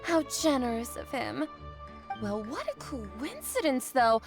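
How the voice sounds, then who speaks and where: A woman's voice: sits high, very animated, takes it slowly, heavily acted. A woman playing a refined, wealthy married lady in a video game, posh and genteel. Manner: posh and leisurely. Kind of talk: game dialogue. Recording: game audio over background music.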